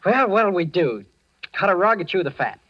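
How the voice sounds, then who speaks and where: An awkward, eager teenage son in a vintage radio drama. He stammers and hesitates, his pitch jumping about in a boyish way. The recording is close, dry and dull with age. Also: very animated, moderate pace, no echo. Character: awkward, eager teenage boy, nervously polite returned son. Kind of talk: old-time radio drama acting.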